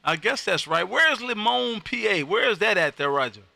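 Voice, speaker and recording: A man hosting an amateur radio livestream, chatting casually with a loud, folksy showman's manner. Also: high-pitched, expressive, moderate pace, vocal fry, no echo. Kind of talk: casual radio contact chat. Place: home radio room.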